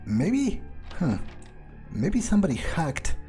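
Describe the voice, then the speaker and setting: A man voicing an arrogant, brooding vampire in a game playthrough, musing aloud in a halting, profane way. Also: fairly high pitch, huge pitch swings, warm tone, faint music behind, exaggerated voice. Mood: puzzled musing. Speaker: gaming YouTuber. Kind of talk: in-character game playthrough monologue, thinking aloud.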